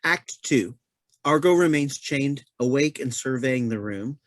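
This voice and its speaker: A man's voice: mid-range voice, animated, a little slow, no echo. A man narrating stage directions in a scripted, play-reading style.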